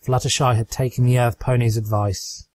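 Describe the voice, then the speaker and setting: A man's voice: mid-range voice, level tone, normal speed, a little mellow. A man narrating a dramatic story as a fiction reader.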